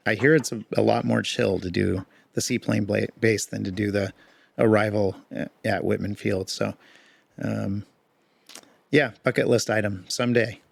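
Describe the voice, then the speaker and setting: A man co-hosting a podcast in casual chat, a bit hoarse, talking in a relaxed, rambling way with fillers. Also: lowish voice, normal speed, no echo. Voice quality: gravelly. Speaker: podcast co-host.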